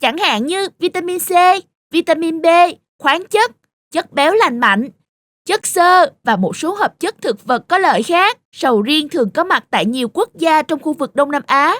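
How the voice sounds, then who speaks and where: A woman's voice: high voice, lively intonation, moderate pace, a bit bright, dry recording. A woman voicing a friendly, proud talking durian fruit that explains things eagerly in a children's cartoon. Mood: proud, instructive. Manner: explanatory, sing-song, friendly.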